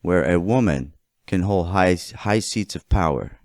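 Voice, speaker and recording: A man from the United States delivers an opinionated political monologue, speaking deliberately and emphatically, phrase by phrase. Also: low voice, animated, darkish tone, no echo, studio quality. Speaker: political commentator.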